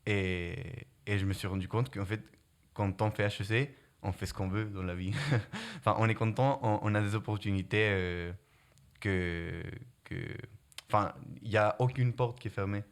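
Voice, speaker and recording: Young man, Spanish-accented, lower voice, halting casual answers with restarts. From Guatemala. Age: young adult. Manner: halting, many restarts, searches for words. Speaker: prep-school student.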